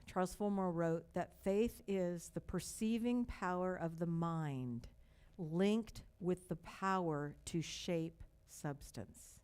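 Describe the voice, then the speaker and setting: An older woman with a light Texas accent delivers a sermon from the pulpit. Her voice sits in a middle register, and she leans on slow, emphatic repetition before easing into conversational stories. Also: mid-range voice. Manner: slow, emphatic repetition with warm storytelling asides. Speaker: pastor.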